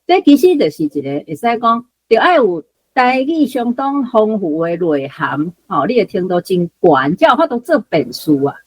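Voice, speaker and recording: A woman's voice: mid-range voice, big pitch swings, moderate pace, slightly rough, no room sound. A woman with a Taiwanese accent giving unscripted explanatory commentary in a conversational way, full of particles and small asides. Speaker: guest commentator.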